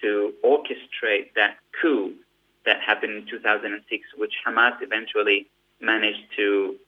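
A man from Gaza speaks as an interview guest, giving long, earnest explanations and often restarting his phrases. His voice sits a little low. The sound is thin and tinny, like it comes down a phone line. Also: fairly even intonation, normal speed. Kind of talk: interview answer.

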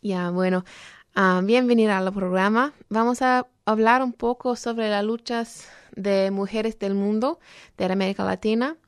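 A woman talking earnestly on a program, halting often as she searches for words. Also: medium pitch, steady tone, clean tone, no room sound, studio quality. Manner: earnest, explanatory. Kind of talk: program talk on social issues.

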